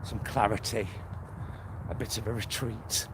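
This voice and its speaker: Middle-aged man, Greater Manchester accent, slowish pace, campy casual rambling, phone selfie vlog, very noisy street outdoors. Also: on the low side, fairly even intonation. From England. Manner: chatty, rambling, campy endearments. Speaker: vlogger. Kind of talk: casual chat to camera while walking. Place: outdoors, walking along a suburban road. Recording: loud outdoor street and traffic noise.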